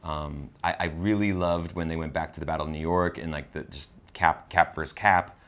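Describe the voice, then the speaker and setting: A man's voice: very deep voice, fairly even intonation, brisk, fairly bright tone. A man co-hosting a casual film discussion, enthusiastic and slangy in the way he talks. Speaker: co-host of a movie review show.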